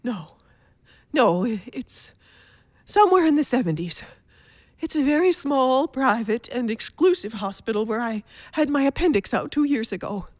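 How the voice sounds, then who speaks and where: Radio drama casting: imperious, nervy invalid wife, high-voiced, gasping and very animated, in a dry close recording. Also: female voice, normal speed, no echo. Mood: anxious urgency. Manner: breathy gasps, demanding, dramatic. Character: anxious, imperious invalid wife, frantic and self-pitying. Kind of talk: radio thriller acting.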